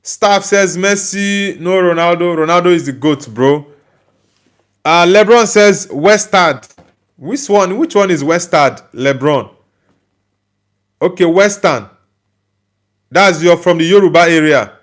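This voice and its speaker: A man's voice: sits high, slow, long pauses. A young man with a Nigerian accent hosting a live football stream, chatting loosely and reading out viewer comments with many fillers and restarts. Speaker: football channel host reading live chat. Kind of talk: casual live-stream chat.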